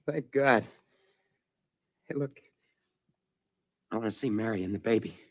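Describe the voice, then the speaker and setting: An actor playing a weary, shaken test pilot in a 1950s radio drama, plain-spoken and drained. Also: male voice, lowish voice, measured pace. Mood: relieved, anxious.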